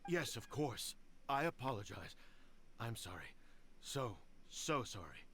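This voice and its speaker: A man's voice: medium pitch, very animated, slow, a bit bright, slightly rough, exaggerated voice. An actor playing a fearful, deferential male retainer in a video game, formal and apologetic in manner. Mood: anxious, apologetic. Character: deferential, fearful clan retainer bowing to his ruler.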